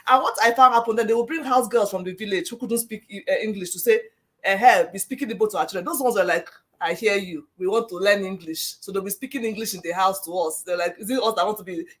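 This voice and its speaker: A Nigerian woman telling lively stories from her childhood and parenting in a casual chat. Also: mid-range voice. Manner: animated, quick storyteller who laughs. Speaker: parent in a discussion. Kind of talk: casual storytelling.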